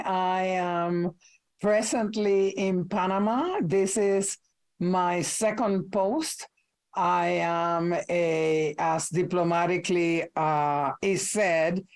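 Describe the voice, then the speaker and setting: An older woman with a Puerto Rican Spanish accent, a native Spanish speaker speaking as an ambassador on a panel. She speaks in a measured, deliberate way with thoughtful pauses, over a video call. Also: lowish voice, darkish tone. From Puerto Rico. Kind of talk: formal panel remarks.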